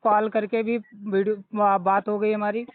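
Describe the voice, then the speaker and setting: A man's voice: sits high, flat delivery, a little slow, no echo. A man with a North Indian accent from Uttar Pradesh, arguing on a phone call in an insistent, confrontational, lecturing way. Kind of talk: argumentative phone conversation. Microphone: phone line. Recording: tinny, narrowband recorded phone call.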